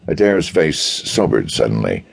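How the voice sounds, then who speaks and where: A man narrates pulp detective fiction in an extremely deep voice. It is husky and full of fry, with the dramatic delivery of an audiobook storyteller. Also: sing-song, unhurried. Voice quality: gravelly, husky.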